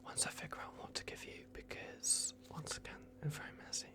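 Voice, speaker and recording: A performer voicing a soft-spoken, bumbling vampire doctor in an ASMR roleplay, whispering hesitantly and repeating reassurances. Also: brisk, lightly acted. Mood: calm, instructing. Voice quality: whispered, creaky. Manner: hushed, hesitant, repetitive, reassuring.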